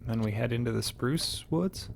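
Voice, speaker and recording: A man's voice: mid-range voice, moderate pace, vocal fry. A man playing a tabletop game, talking casually in character with the others at the table. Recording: faint background room noise.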